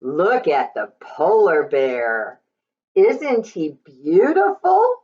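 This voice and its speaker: A woman reading a picture book aloud to young children, in a sing-song, drawn-out way with questions to her listeners. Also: fairly low pitch, wildly swooping pitch, highs cut off.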